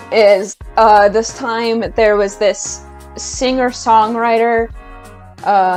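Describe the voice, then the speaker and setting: Chatty enthusiastic woman ranting casually. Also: mid-range voice. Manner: chatty and enthusiastic, hesitant with restarts. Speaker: YouTuber. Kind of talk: casual video-essay rant.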